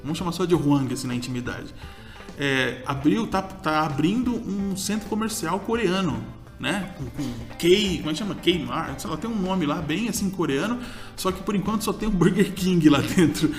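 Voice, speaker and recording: A man's voice: sits high, animated, normal speed, soft background music. A man, co-hosting a casual food chat and often groping for names. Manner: chatty, gropes for names, laughs easily. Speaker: podcast co-host, food reviewer.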